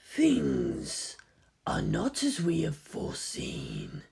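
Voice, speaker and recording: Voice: mid-range voice, wildly swooping pitch, extremely slow, creaky, a little mellow, husky, full character voice. A narrator voicing a grave old cyborg man, leader of a secret cabal, in a whispery voice.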